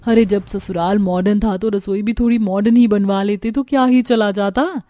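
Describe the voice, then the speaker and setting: A woman voicing a young Indian daughter-in-law, polite but easily frustrated, in an earnest, expressive cartoon family story. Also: mid-range voice, moderate pace, no room sound, natural voice. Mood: wistful.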